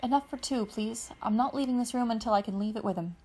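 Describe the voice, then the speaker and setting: A woman's voice: on the high side, quick pace, a little mellow, voice pushed up. A woman reading aloud gives voice to a tired, stressed teenage girl. She talks in a weary, blunt way.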